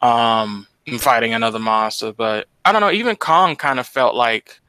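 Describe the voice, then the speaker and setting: A man's voice: medium pitch, normal speed, pushed and bright, clear, resonant tone, dry recording, low-quality recording. An American man co-hosting a podcast, explaining his points in casual, slangy talk with some swearing. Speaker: podcast co-host. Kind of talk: casual discussion of movies.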